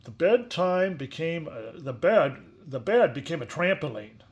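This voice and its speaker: Guy w a kinda higher voice reading a kids book out loud, expressive, chats w the kid as he goes. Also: a little slow. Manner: expressive reading, chatty questions to the listener. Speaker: story reader. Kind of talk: animated picture-book read-aloud with casual asides to a child.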